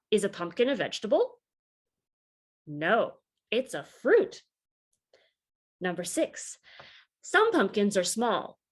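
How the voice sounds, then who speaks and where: A woman reading aloud a story for children in a deliberate, expressive teacherly way. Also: mid-range voice, breathy edge.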